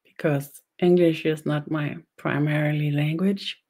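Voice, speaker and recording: Woman with Danish accent, low voice, slow halting delivery, webinar host. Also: fairly even intonation, mellow. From Denmark. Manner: halting, pauses often, repeats words. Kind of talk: slow meditative teaching.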